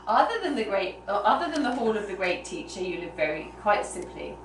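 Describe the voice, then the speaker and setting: A woman's voice: medium pitch, very animated, brisk. A woman reading a story aloud in a live storytelling style, recorded in a room at a small gathering. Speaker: reader. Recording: noisy roomy live recording, distant mic.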